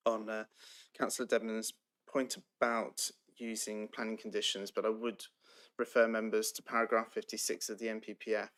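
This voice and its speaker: Steady, flat-voiced male planning officer. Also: lowish voice. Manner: measured, procedural, many ums. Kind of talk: formal council meeting answers.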